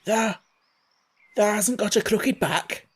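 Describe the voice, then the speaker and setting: A man doing a gruff old Yorkshire gardener. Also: high voice, slow, voice pushed up, full character voice. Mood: shaky. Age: older. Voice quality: gruff, scolding broad dialect.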